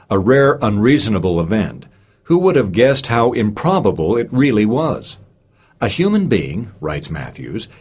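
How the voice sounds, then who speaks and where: A man reading a scholarly lecture from a script, with emphatic, rhetorical delivery and deliberate stresses. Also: fairly low pitch, breathy edge. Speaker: reader of a recorded speech.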